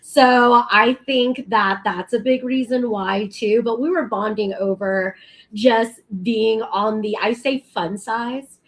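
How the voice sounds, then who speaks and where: Slow-talking bubbly woman talk show guest. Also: a little high, steady tone, rough recording. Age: 30s. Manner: bubbly, laughs often, chatty with many fillers. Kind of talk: casual chat.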